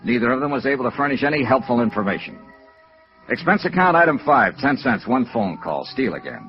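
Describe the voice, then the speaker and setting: Old radio noir detective, wry and businesslike, lots of pitch swing, muffled vintage recording. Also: male voice, very animated, fast talker, soft background music. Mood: wry narration. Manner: clipped, matter-of-fact, dryly wry. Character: wry, businesslike male freelance insurance investigator. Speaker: lead actor and narrator of radio detective drama. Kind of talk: 1950s radio noir acting, first-person narration.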